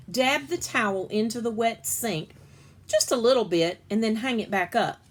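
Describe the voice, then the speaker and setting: A woman reading a children's story aloud expressively, stretching key words as a storyteller does. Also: medium pitch.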